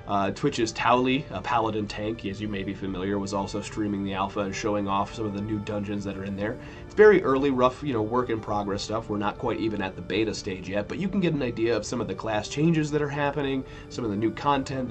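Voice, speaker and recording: Man with a cold hosting gaming news. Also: lowish voice. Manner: relaxed, rambling, self-correcting. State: recovering from a cold, sniffly. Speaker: weekly gaming news host. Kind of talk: casual news roundup.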